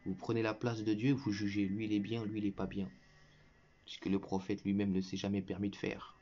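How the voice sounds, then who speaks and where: A man with a fairly low voice delivers a heated religious rant straight at an opponent, scolding and mocking by turns. His tone is lecturing and scornful, with bursts of derisive laughter. The recording sounds dull and lo-fi. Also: completely flat delivery, brisk, warm tone. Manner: scornful, lecturing, addresses listener directly as "tu". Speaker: online religious commentator. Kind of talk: combative reaction monologue to viewers. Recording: muffled lo-fi recording.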